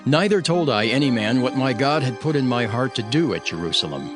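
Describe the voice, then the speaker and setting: A man's voice: medium pitch, expressive, fairly quick. A man giving a dramatic scripted narration of scripture, solemn and declamatory. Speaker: Bible narrator, voicing Nehemiah. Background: music.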